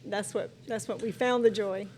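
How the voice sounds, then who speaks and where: A middle-aged woman pastor speaks as an interview guest, earnest and conversational, often restarting her thoughts. Her voice sits at an ordinary pitch for a woman. A faint hum runs behind her. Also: fairly even intonation, moderate pace. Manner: warm and earnest, with frequent restarts and "you know".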